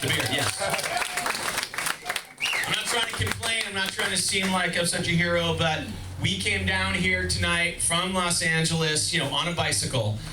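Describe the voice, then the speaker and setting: A man doing a stand-up comedy set in a club, self-deprecating and breaking into shouty bursts. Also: a little high, heavy background noise. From Boston, Massachusetts. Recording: echoey club from far off. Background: audience laughter.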